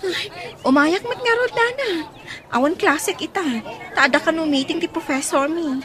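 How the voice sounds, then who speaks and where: A woman's voice: very high-pitched, normal speed, fairly bright tone. A woman playing a concerned young college student in an Ilocano radio comedy-drama.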